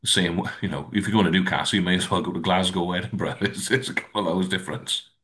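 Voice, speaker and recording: A man talking casually on a rugby podcast in a rambling, measured, matter-of-fact way. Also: sits low, a bit bright. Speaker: podcast panellist.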